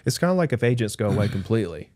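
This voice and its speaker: A man who works as a real estate agent, talking in an animated, chatty panel debate with lots of fillers. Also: lowish voice, racing, no echo.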